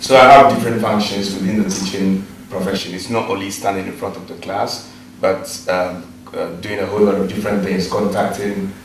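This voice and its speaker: A middle-aged Ghanaian man, a teacher, telling his story in an interview in an even, measured way with some fillers. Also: low-pitched, recorded from a distance, slight background noise. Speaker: guest, teacher. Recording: echoey room, voice from off mic.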